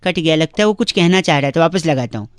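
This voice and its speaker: A man making radio prank phone calls, teasing and provoking the person on the line with mock-innocent calm. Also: a little high, fast talker, smooth, no echo, studio quality.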